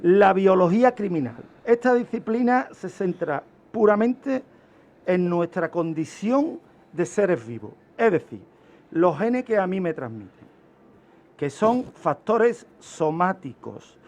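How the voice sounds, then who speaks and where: Male radio expert, Spain accent, unhurried delivery, fairly high voice, didactic and emphatic. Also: very animated, a little mellow. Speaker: guest criminologist explaining. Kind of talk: informal radio lecture.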